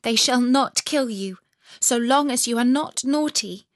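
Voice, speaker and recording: A woman's voice: on the high side, a little slow, no echo, studio quality, pitched above the performer's own voice, close to an everyday voice. A woman voicing a fierce, bossy little robber girl in a storybook reading. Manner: bossy, threatening.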